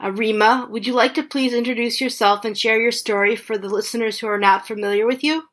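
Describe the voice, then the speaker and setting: A woman's voice: on the high side, fairly even intonation, fairly quick, fairly bright tone. A woman hosting a podcast, opening with a friendly, welcoming introduction. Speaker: podcast host.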